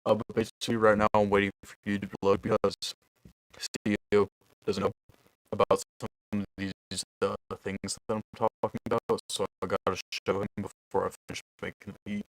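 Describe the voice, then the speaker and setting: A man's voice: on the low side, level tone, fairly quick, no room sound, poor audio. A young man producing music on a livestream, chatting loosely with his viewers in a rambling, casual way. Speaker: music producer streaming live.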